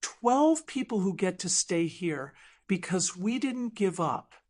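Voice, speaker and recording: A woman voicing a guarded, vulnerable woman in love in an audiobook drama, quiet and hesitant. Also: mid-range voice, animated, slow pace. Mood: grateful.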